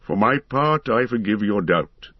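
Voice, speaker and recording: A man's voice: on the low side, measured pace, breathy edge, no room sound, muffled recording. A man reading Aragorn, weary and dignified. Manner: calm, dignified, earnest. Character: grave, weary man, heir of kings.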